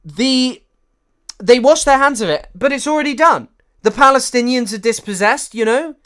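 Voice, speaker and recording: A man giving chatty live-stream commentary, animated and full of fillers and restarts. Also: very high voice, moderate pace.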